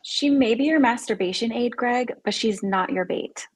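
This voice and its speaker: A woman acting a sardonic, skeptical young camp counselor in a script read, with a slightly husky voice. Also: fairly high pitch, moderate pace. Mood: dry, mocking.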